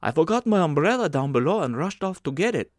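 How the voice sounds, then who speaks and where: A man's voice: a little high, big pitch swings, quick pace, no room sound, clean studio sound, pitched above the performer's own voice. A man voicing a naive, anxious, polite young male emigrant with an earnest, eager manner in an audiobook. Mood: flustered, worried. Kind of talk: audiobook character acting.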